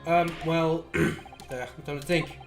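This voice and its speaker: A male streamer with a slightly high voice reads visual novel dialogue aloud. His delivery is theatrical, acting out the characters, and game music plays faintly behind him. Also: steady tone, slow pace, vocal fry.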